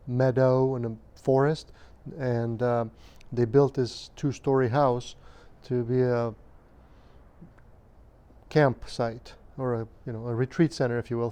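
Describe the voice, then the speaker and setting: A man in his fifties from Romania, recalling his childhood in an interview at a measured, hesitant pace with frequent pauses and fillers. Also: mid-range voice, level tone, mellow, clear, resonant tone. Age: 50s. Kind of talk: recounting childhood memories.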